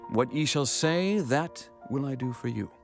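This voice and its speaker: A man's voice: medium pitch, animated, measured pace, vocal fry. A man playing an earnest, devout king, speaking solemnly in a dramatized scripture reading. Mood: earnest, conciliatory. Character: King David, earnest, devout male ruler. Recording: music behind the voice.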